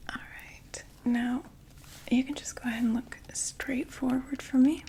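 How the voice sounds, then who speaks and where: A woman whispering a gentle, soothing ASMR roleplay as a friendly makeup saleswoman, often repeating words softly. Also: high-pitched, fairly even intonation, a little slow, a little hiss or hum. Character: friendly female vampire door-to-door makeup saleswoman.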